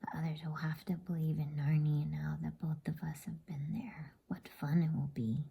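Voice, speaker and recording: An earnest, trusting young girl in a bedtime storybook, whispered by a woman. Her voice is breathy and hushed with heavy creak. She speaks eagerly. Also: sits low, little pitch movement, normal speed, full character voice. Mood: delighted, eager.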